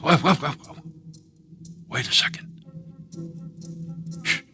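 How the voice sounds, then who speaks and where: A man playing a frightened, wounded husband in a suspense audio drama, halting and urgent but gruffly determined to fight. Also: on the high side, over music. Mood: tense, wary.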